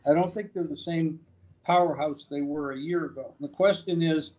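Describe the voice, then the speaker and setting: Measured man giving football opinions. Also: fairly high pitch, mellow, low-quality recording. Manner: measured, thinking aloud with many pauses. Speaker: football analyst. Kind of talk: sports betting talk.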